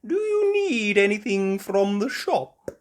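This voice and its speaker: A woman's voice: medium pitch, very animated, slow pace, darkish tone, voice pushed up. A woman voicing a kindly older grandmother pig in a storybook, fond and encouraging in a sing-song way.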